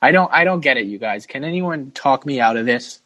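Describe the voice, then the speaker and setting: A man with a mid-pitched voice hosts a loose sports podcast chat. He is blunt and informal, tossing out questions and frank verdicts. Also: lively intonation, a little fast, bright, forceful tone. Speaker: podcast host.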